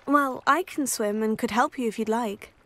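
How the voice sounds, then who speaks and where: Woman voicing a polite helpful teen girl student, composed, fairly high voice, game dialogue. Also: normal speed, fairly bright tone, natural voice. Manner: courteous, composed. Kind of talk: scripted game acting.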